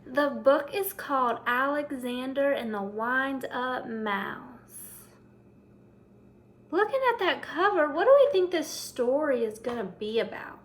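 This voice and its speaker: A woman's voice: high voice, lively intonation, a little slow. A woman reading a picture book aloud to children like a teacher, commenting and prompting them with questions.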